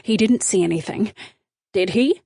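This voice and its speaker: Brisk, protective female publicist for storybook, commanding and snappy voiced by a woman narrator, mid-pitched. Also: creaky, dark tone, breathy edge, no echo, studio quality. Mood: controlling.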